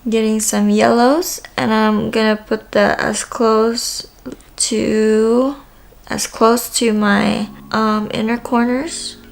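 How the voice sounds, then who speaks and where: A woman's voice: on the high side, flat delivery, takes it slowly, creaky. A woman with a Filipino accent talks through a makeup tutorial in a casual, hesitant way, with frequent ums. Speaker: makeup vlogger.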